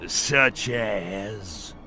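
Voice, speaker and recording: A man's voice: on the low side, drawn-out words, bright, forceful tone, faint music behind, exaggerated voice. A man playing a cocky, wisecracking alien invader in a comedic video game, sardonic and flippant like a tough guy. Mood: sarcastic.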